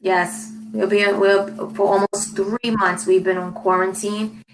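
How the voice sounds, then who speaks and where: A woman's voice: medium pitch, flat delivery, poor audio. A young woman from New York City, an English tutor chatting casually on a video call and often repeating her phrases. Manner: repeats phrases, friendly and measured.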